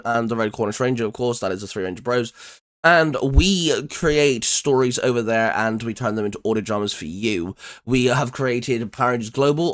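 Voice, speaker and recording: A man talking as co-host of a geek chat show. He speaks casually in a middle-pitched voice, with a keen fan's enthusiasm and loose, hedging phrasing. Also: a bit bright. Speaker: co-host of a fan discussion show.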